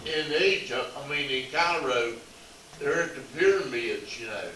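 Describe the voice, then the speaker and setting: A man in a study group speaks haltingly, stumbling over restarts and "uh"s as he hunts for words. He is far from the mic in a strongly echoing room, with clear background noise around him. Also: mid-range voice, expressive, sharp tone. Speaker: Bible study group member. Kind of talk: casual chat.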